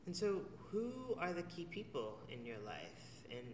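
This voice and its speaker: A man's voice: mid-range voice, huge pitch swings. Distant man interviewing in an echoey hall. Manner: measured and formal, then gentle questioning. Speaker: oral history interviewer. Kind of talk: formal read introduction, then interview questions. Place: theater venue. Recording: distant mic in a roomy, noisy hall.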